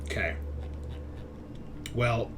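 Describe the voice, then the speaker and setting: Man game-mastering, some background noise. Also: very slow. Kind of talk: improvised tabletop game narration.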